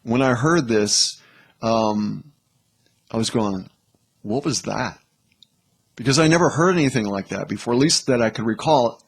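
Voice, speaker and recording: A man's voice: mid-range voice, unhurried, pauses often, husky. A man hosting in a casual, informal way, talking to viewers in a rambling, slightly hesitant manner. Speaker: host presenting clips.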